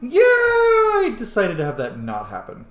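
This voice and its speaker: Man, casual gaming host, medium pitch, highly animated, irreverent, swears freely, echoey room. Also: a little slow, soft background music. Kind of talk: casual roleplay playthrough commentary.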